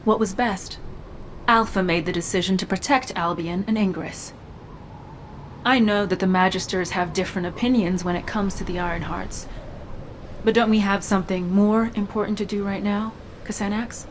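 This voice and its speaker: A woman's voice: mid-range voice, steady tone, normal speed, slight background noise, natural voice. A woman playing a steely, vengeful stealth operative in a video game, resolute, cool and serious. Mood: cool, determined.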